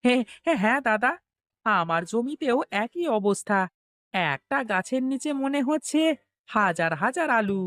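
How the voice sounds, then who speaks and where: A woman's voice: on the high side, expressive, measured pace, fairly bright tone, dry recording. Woman voicing an eager young cartoon villager, dry sound. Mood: excited. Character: younger village farmer, eager, respectful, hopeful. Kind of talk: Bengali cartoon story dialogue.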